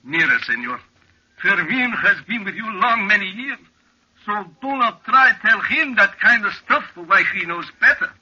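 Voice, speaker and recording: A sturdy, devoted Mexican guide with a highish, husky voice. His English is broken and formal, laced with Spanish, solemn and full of superstition. It comes through a dull old radio drama recording. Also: lively intonation, normal speed, sharp tone, heavily acted. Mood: urgent pleading. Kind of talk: old-time radio acting.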